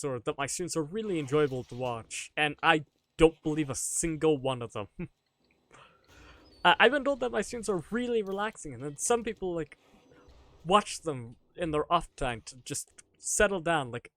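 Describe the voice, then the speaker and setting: A man's voice: on the high side, fairly bright tone, dry recording. A male streamer with a slightly raised voice, chatting loosely and joking at his own expense. He laughs often between his answers. His desk mic gives a dry, close sound with no room around it. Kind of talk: casual live-stream chat answering viewer questions.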